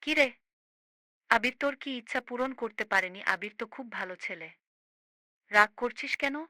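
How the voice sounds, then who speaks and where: A woman's voice: fairly high pitch, moderate pace, pushed and bright, no echo, studio quality. A woman playing a firm, caring older sister in a cartoon, lecturing her grown brother in an emphatic, preachy way. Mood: challenging, firm. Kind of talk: amateur cartoon voice acting.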